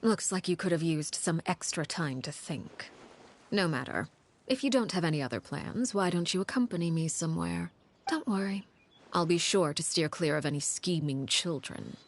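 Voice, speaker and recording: An actress playing a cold, menacing female leader of a secretive house in a video game, speaking formally and with measured poise. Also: lowish voice, animated. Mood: sly, composed. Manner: measured, formal, veiled threats.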